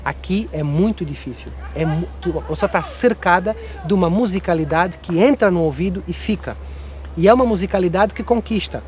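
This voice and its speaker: A man's voice: sits high, brisk, darkish tone. A man from Angola with an Angolan Portuguese accent, explaining his views in an articulate, opinionated way in a documentary interview.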